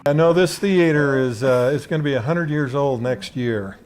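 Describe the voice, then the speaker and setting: A man's voice: medium pitch, normal speed, darkish tone, a little hiss or hum. A middle-aged man being interviewed, reminiscing casually about his own experiences. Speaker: theater owner being interviewed.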